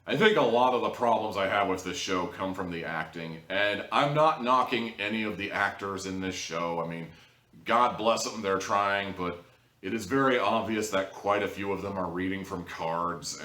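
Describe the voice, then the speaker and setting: Male reviewer, lower register, wry and rambling, casual commentary with mock-dramatic stresses, roomy distant sound. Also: bright, forceful tone. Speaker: audio drama reviewer, show host. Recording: roomy echo, distant mic.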